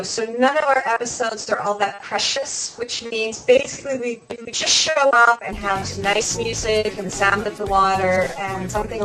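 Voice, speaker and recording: A woman's voice: a little high, low-quality recording. A woman talking casually as an interview guest, chatty and conversational, thinking aloud as she goes. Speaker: interview guest, video podcast host.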